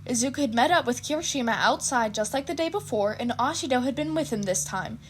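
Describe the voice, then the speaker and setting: A woman's voice: high-pitched, expressive, fast talker, a little hiss or hum. A woman narrating fan fiction expressively, with casual asides.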